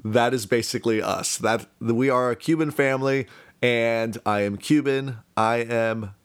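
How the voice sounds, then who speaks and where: A man's voice: mid-range voice, steady tone, a little slow, smooth. A young man from talks casually about himself, thinking aloud in a rambling, hesitant way. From Miami, United States. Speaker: speaker telling about own life. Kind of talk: casual personal monologue.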